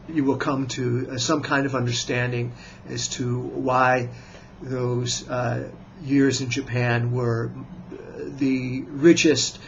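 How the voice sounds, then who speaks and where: An American man in his fifties, a writer introducing himself on his video channel in a slow, measured way, with frequent hesitant pauses. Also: mid-range voice, creaky, lo-fi sound, slight background noise. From United States. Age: 50s. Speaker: writer and vlogger. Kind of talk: self-introduction to camera.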